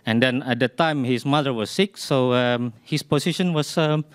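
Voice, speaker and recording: A man, asking a question from the audience at a public debate in halting English full of fillers and restarts. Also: medium pitch, no echo. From Indonesia. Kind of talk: hesitant spontaneous remarks.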